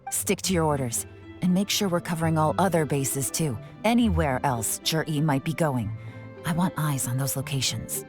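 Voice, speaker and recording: A woman playing a shrewd, composed intelligence commander in a video game, speaking in a cool, deliberate, commanding manner. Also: low-pitched, close to an everyday voice. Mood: firm, commanding. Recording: music playing behind the voice.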